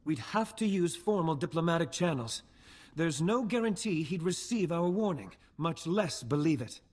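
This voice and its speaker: An actor playing a formal, worried young noble diplomat in a sci-fi video game. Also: male voice, fairly high pitch, animated. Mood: grave, worried. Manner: formal, measured wording.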